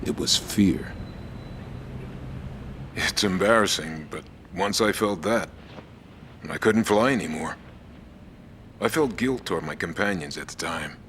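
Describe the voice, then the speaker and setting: An actor playing a weary former fighter pilot, speaking quietly and with regret about his past. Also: deep voice, expressive, measured pace, pauses often, slight background noise. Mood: regretful. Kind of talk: reflective interview monologue.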